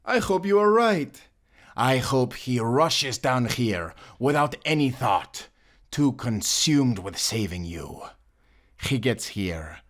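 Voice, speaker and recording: Slow-paced man performing a vengeful villain prince, audio drama, deep-ish, sneering, theatrical, faint music. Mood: gloating. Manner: taunting, theatrical, sneering delivery. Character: menacing exiled prince, cruel, taunting, vengeful villain. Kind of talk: dramatic audio roleplay acting.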